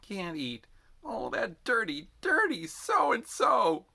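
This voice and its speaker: A man acts out a sick young male recruit from a novel, pitched well up from an adult male's normal talk. The voice is strained and whining, dragging out its bitter complaints in a bright, swooping delivery. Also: wildly swooping pitch, gravelly, exaggerated voice. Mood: bitter, miserable. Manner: drawn-out aggrieved complaining.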